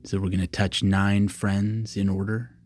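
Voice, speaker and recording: A man leading a guided meditation, giving calm, simple, repeated instructions with long pauses between them. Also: lowish voice, slight background noise. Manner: calm, repetitive, unhurried cues with long pauses.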